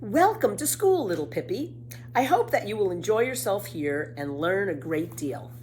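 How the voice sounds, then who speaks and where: A woman's voice: medium pitch, very animated, moderate pace, darkish tone, natural voice. A kindly storybook schoolteacher, acted out by a woman reader, polite and encouraging. Her intonation rises and falls expressively. Faint noise sits behind the voice. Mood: friendly, welcoming. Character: pleasant, patient, friendly female schoolteacher. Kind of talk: children's storybook character voice.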